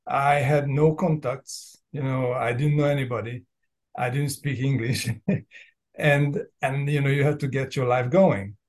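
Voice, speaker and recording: A man in his fifties speaks with a Hungarian accent, as a native Hungarian speaker. His voice sits at an average pitch. He tells his own story informally as a podcast guest, pausing and rephrasing in a reflective way. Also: steady tone. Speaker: podcast guest, entrepreneur and business coach. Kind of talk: casual personal storytelling in an interview.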